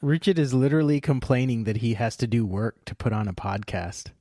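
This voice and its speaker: A man's voice: mid-range voice, fairly quick, warm tone, no room sound, studio quality. A man co-hosting a podcast in casual chat, making sarcastic jabs. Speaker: podcast co-host.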